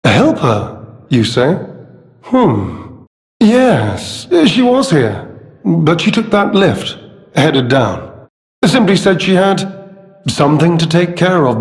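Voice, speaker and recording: A suave, condescending gentleman of a video game, speaks in a medium-pitched male voice. He draws out his courtesies with pompous, theatrical affectation. The words ring in a long, hall-like reverb.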